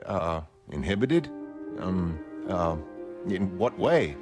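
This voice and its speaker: A male actor playing an awkward, anxious, gentle middle-aged man, speaking hesitantly and apologetically in intimate drama dialogue. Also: low-pitched, sing-song, slow, full character voice. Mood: nervous, flustered. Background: loud music.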